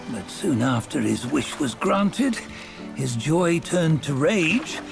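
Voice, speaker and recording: Slow, gravelly, stern old ferryman. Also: on the high side, heavily acted. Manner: measured, formal, disdainful. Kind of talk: video game cutscene acting, solemn storytelling.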